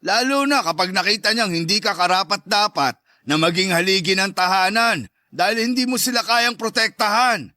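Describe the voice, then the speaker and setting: A man acts out a jealous macho ex-husband in an audio drama, domineering and mocking. His voice is high, bright and cutting, with a sneering delivery and a dry, close sound. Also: moderate pace, no room sound. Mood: scornful. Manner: sneering, taunting. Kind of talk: Tagalog audio drama dialogue.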